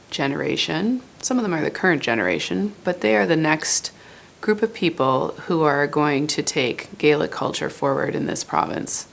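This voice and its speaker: Earnest woman with a gravelly low voice. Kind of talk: interview, earnest.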